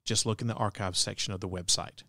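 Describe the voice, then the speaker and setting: Low-pitched male podcast host, dry sound. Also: steady tone, fast talker, bright, forceful tone, no echo. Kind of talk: scripted podcast outro.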